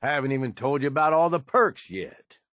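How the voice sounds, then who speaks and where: A man's voice: mid-range voice, fairly quick, fairly bright tone, no echo, clean studio sound, close to an everyday voice. An actor playing a cunning, blunt raider right-hand man in a video game, with an American accent and a folksy tough-guy manner. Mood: wry, coaxing. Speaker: companion character in a video game. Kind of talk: video game dialogue acting.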